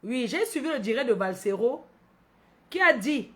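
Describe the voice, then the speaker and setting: A woman's voice: on the high side, expressive, moderate pace, fairly bright tone. A woman from Cameroon with a Cameroonian accent hosting a casual livestream, addressing followers by name, repeating phrases and tagging on questions. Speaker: livestream host.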